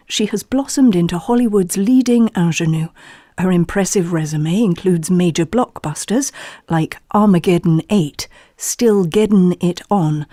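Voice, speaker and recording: An actress playing an earnest, unflappably polite wellness podcast host, introducing a meditation in a measured, gentle, deadpan way for an audio play. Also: female voice, fairly low pitch, no room sound, clean studio sound.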